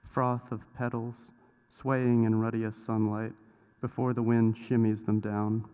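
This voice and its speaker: Smooth, slow male poet, echoey hall. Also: on the low side, little pitch movement, mellow, muffled recording. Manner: measured, short phrases with pauses. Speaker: poet reading own work. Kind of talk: poetry reading. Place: reverberant hall at a live public reading. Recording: echoey hall with long reverb.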